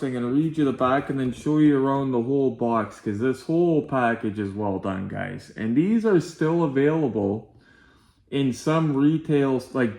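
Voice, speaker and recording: A man's voice: mid-range voice, a bit bright. A man with a nasal voice, chatting informally to viewers as he hosts a casual unboxing vlog. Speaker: video host and collectibles unboxer. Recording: roomy, echoey sound.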